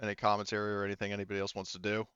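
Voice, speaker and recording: A man narrates casually as a game master. His voice is smooth and medium in pitch, recorded dry with a slightly muffled top end. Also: monotone, racing, fairly bright tone, dry recording.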